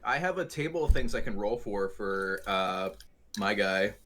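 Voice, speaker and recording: A man's voice: on the low side, animated, unhurried, a crowd in the background. A man talking casually as a player in a tabletop roleplaying game, wordy and mock-formal.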